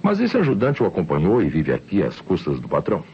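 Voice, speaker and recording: A very deep-voiced, methodical policeman. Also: huge pitch swings, fast. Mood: methodical, explanatory. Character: methodical policeman briefing at a crime scene. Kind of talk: old radio drama acting. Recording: dull, slightly noisy old radio recording.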